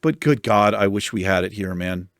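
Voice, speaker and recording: A man from the United States reading viewer comments in casual chat, animated and chatty with a soothing voice and casual swearing. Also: fairly low pitch, moderate pace, no room sound, clean studio sound.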